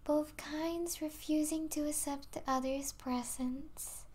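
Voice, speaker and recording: A high-voiced vampire princess, played by a woman, lingers very slowly over her words. She is regal and possessive, yet soft and doting, slipping into breathy asides as if in an intimate ASMR roleplay. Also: fairly even intonation. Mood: wistful, intimate. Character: possessive yet tender vampire princess, mistress of a human pet.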